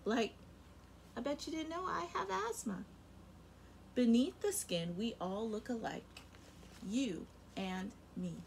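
A young woman teacher reading a picture book aloud to kindergarten children, very expressive, her loudness rising and falling sharply. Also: a little high, takes it slowly, creaky, pauses often, slight background noise. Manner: expressive and animated, with big swings in loudness. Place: home room in front of a rainbow feather backdrop.

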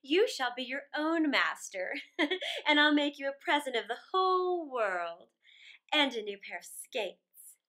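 A woman's voice: sits high, big pitch swings, unhurried, bright, forceful tone, full character voice. A woman voicing an imperious, gleeful ice queen from a storybook, grand and boastful in a theatrical way. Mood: grand, teasing.

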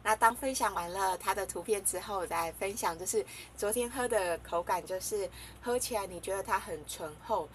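A woman's voice: medium pitch, moderate pace, hardly any pauses, a little hiss or hum. Chatty young woman tasting coffee. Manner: chatty, hesitant, with many fillers and giggles. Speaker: vlogger reviewing coffee. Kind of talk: casual chat to camera. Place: small room indoors.

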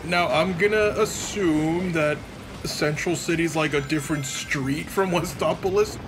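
A man's voice: on the high side, measured pace, music in the background. A man giving casual, sarcastic commentary as a co-commentator on a video game let's play.

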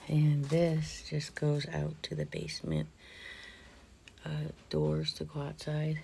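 A woman's voice: sits low, sing-song, slow, gravelly, mellow, a little hiss or hum. A middle-aged woman chatting nervously as she vlogs a ghost hunt, swearing casually, filmed in a large old cellar. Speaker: paranormal vlogger. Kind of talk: casual ghost-hunting vlog. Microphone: camera on a tripod, then handheld. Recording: echoey basement from far off.

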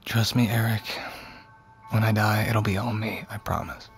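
A gravelly young man, an addict who is weary and stubborn. His low voice is slack and fatalistic, in a naturalistic audio drama style. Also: flat delivery, normal speed, soft background music. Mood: grim resolve. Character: weary, self-destructive young male heroin addict, pleading and stubborn.

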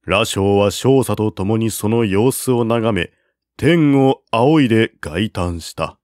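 A man reading a classical war novel aloud as narrator, in a slow, measured way at a close mic. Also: fairly low pitch, animated, darkish tone, no echo. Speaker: audiobook narrator.

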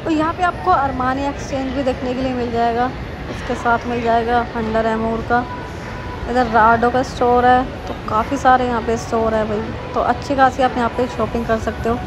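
Brief: young woman vlogger, high voice, casual and chatty, filmed on a handheld phone. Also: fairly even intonation, moderate pace, noisy background. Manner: chatty, direct to viewers, lists store names. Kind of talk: walking mall tour vlog. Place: outdoor plaza, then large indoor mall.

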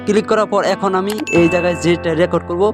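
Male tutorial host, slightly high voice, brisk delivery, repetitive step-by-step guidance, guitar music behind. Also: steady tone. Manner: repetitive, keeps checking the viewer follows. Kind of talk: step-by-step screen tutorial narration. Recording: voice over plucked guitar music. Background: plucked guitar music.

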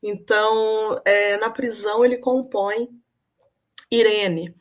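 A woman's voice: medium pitch, fairly even intonation, takes it slowly. A young adult woman giving an academic lecture over a video call from a room at home, thoughtful and full of hesitation fillers. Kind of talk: academic talk on song and literature. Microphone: webcam on video call.

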